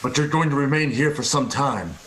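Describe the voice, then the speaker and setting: A man's voice: medium pitch, brisk, fairly bright tone, slight background noise, lightly acted. A man playing a scheming, gloating murderer with sneering menace in an amateur radio play reading. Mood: menacing.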